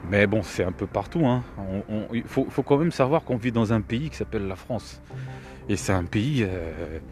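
A man's voice: on the low side, expressive, moderate pace, a little mellow, soft background music. A middle-aged man with a cold gives rambling, scornful commentary, often repeating his words. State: has a cold. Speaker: video commentator. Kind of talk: opinionated reaction commentary.

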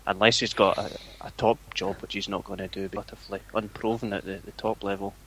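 A Scottish man talks football on a podcast in a flat, even way, and he calls his own voice boring. His voice sits a little low and sounds bright and forceful. A faint noise hums under the recording. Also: brisk, poor audio. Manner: flat, self-described boring voice. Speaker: forum owner, guest. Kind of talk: casual chat.

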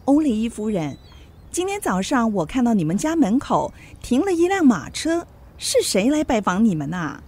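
An actress in a radio drama playing a gossipy, wealthy French widow, inquisitive and full of affected exclamations. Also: female voice, a little high, sing-song, normal speed, a little mellow, husky, full character voice. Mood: nosy.

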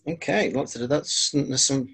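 A man's voice: medium pitch, measured pace, vocal fry, a bit bright, slightly rough. A Welsh man whose first language is Welsh, chatting casually as a guest with understated humor. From Wales. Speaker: podcast guest. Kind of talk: casual chat.

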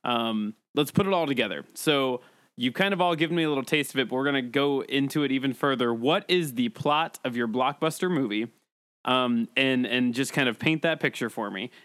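A man's voice: medium pitch, a little fast, a bit bright, dry recording. A man hosting a casual comedy discussion, teasing and mock-stern as he moderates. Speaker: podcast host and moderator.

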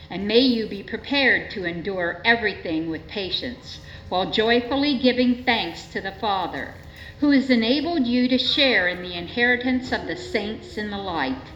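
A woman gives a formal scripture reading in a measured, solemn manner at a church service. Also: mid-range voice, a bit bright. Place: indoors in a church. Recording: echoey church, dull sound, faint noise.

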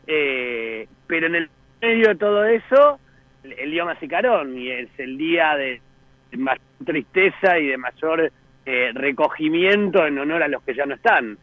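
A man's voice: a little high, animated, unhurried, no echo. Man reporting over a tinny phone line, hesitant and full of restarts. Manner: hesitant, many fillers and restarts. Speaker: journalist. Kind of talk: phone interview.

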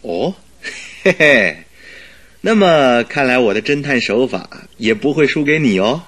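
Detective's eager companion, radio play, husky middle-pitched voice, inquisitive, genial. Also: male voice, big pitch swings, measured pace, a bit bright. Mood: amused, pleased. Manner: inquisitive, chuckling, exclamatory. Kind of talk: Mandarin radio drama acting.

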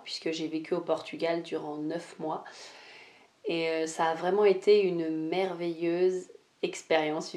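A young woman hosting a solo podcast, talking without notes and often pausing with fillers and restarts. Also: lowish voice, warm tone. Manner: hesitant, many "euh" fillers and restarts. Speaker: podcast host, life mentor. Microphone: podcast microphone.

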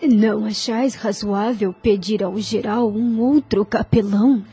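Slow, soft-toned humble nun. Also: female voice, mid-range voice, fairly even intonation, husky, no room sound. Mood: respectful. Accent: Brazilian. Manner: formal, pleading, deferential. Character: humble, devout, gently courageous nun. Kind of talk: radio drama acting.

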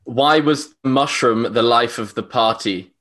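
A man's voice: medium pitch, measured pace, a bit bright. A man co-hosting a podcast, playful and fond of puns. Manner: jokey, playful, tells puns. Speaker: podcast co-host. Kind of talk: casual podcast chat.

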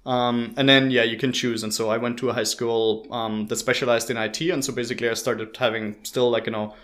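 A man's voice: medium pitch, level tone, brisk, a bit bright. A man in his thirties from Austria, a native German speaker with an Austrian accent and an Irish-tinged R, chatting informally as an interview guest with dry humour. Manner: informal, rambling, dryly humorous. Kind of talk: casual chat, telling life story.

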